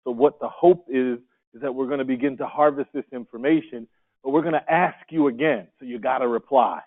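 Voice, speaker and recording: A man's voice: medium pitch, a little fast. Coaxing male facilitator, tinny audio. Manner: upbeat, coaxing, asks the room questions. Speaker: workshop facilitator. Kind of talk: leading a group discussion. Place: conference room. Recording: tinny phone-like sound.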